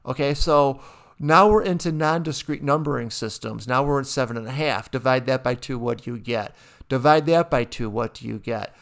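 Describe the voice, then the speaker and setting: A man's voice: mid-range voice, normal speed, vocal fry, fairly bright tone. A man, a college math instructor, giving a conversational recorded lecture over a screen share, asking rhetorical questions and restarting his sentences often.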